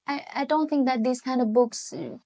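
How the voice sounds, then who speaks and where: Chinese-accented woman, mellow restarting speech. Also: high-pitched. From China. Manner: speaks in halting restarts with frequent um and uh. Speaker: guest, economics professor. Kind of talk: podcast interview.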